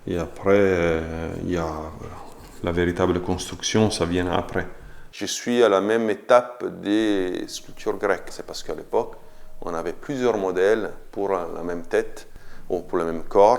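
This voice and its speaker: A man's voice: low voice, steady tone, normal speed. A man, a sculptor speaking French in a documentary interview, thoughtful and hesitant, often repeating words as he searches for them. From Italy. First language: Italian.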